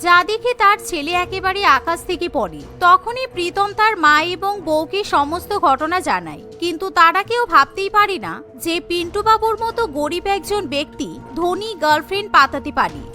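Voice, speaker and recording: A woman's voice: very high voice, very animated, normal speed, bright, forceful tone, soft background music. A woman reading scripted narration for a cartoon story.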